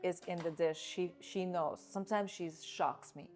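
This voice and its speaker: A woman's voice: lowish voice, fairly even intonation, moderate pace, a little mellow, soft background music. Chatty woman chef. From Russia. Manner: chatty, laughs often, tells stories. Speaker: chef and cooking show host. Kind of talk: casual chat while cooking. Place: kitchen.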